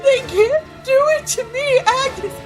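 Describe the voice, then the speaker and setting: A woman playing a desperate village wife and mother in a radio drama, pleading in a shrill, sobbing wail. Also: slow pace, faint music behind. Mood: terrified begging. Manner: wailing, sobbing repeated protests.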